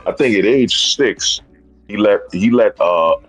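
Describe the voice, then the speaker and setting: A man's voice: lowish voice, a little slow, husky, soft background music, low-quality recording. A man in his thirties hosting a livestream, talking in a rambling, casual rant and dropping "bro" and "you know what I'm saying" often. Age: 30s. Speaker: streamer host.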